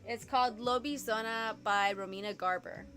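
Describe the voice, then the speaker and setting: A woman from Puerto Rico chats with her live-stream viewers from her home computer, rambling from one thought to the next. Her voice sits a little high and rings bright and forward. Faint music plays underneath. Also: fairly even intonation. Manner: chatty and rambling, fast-talking with frequent "like". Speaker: booktube streamer hosting reading sprints. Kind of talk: casual live-stream chat.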